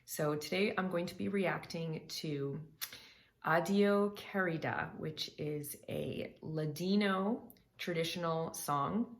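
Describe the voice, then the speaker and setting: Low gravelly-voiced woman vocal coach. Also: slow pace. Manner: chatty and apologetic, explaining lyrics step by step. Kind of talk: casual reaction vlog talk.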